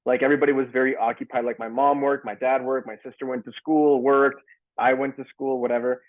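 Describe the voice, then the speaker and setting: A young man from Canada chats over a video call, his voice coming through thin and phone-like. He speaks at a mid pitch, loose and rambling, and often restarts his sentences. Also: little pitch movement, fairly quick. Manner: loose, many fillers and restarts. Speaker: actor guest. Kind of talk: casual interview answers. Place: home in Toronto.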